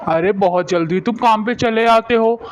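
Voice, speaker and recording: A man playing a kindly, high-voiced village boss. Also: normal speed, some chatter behind, slight background noise, close to an everyday voice. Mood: caring, welcoming. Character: kindly, caring, generous rich village employer, male. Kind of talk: Hindi animated moral story dialogue.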